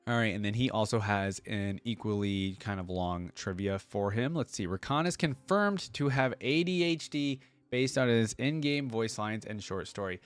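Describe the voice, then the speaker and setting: A man's voice: lowish voice, smooth, dry recording. A man hosting a reaction video with casual, conversational commentary.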